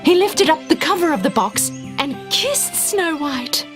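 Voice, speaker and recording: Female narrator for kids' fairy tales: fairly high voice, very animated and expressive, music underneath. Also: a little slow. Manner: expressive storytelling. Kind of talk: scripted children's fairy tale narration.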